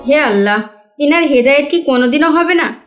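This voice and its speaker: A woman plays a devout cartoon wife with a clearly high voice. She speaks earnestly, pleading and admonishing her husband like a moral guide. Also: animated, fairly quick, faint music behind. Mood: reproachful. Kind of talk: cartoon voice acting in Bengali.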